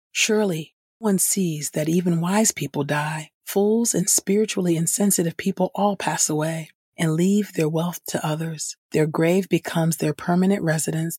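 Woman reading Scripture, slightly husky, dry sound. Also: sits low, a little mellow, no room sound. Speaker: podcast host and Scripture reader. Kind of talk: scripted devotional Bible reading.

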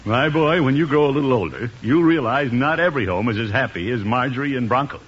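An actor playing a pompous, self-satisfied middle-aged uncle in a 1950s radio comedy. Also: male voice, medium pitch, lively intonation, fast, lightly acted. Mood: smug. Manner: pompous, preening, chuckling. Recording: muffled, noisy 1950s radio recording.

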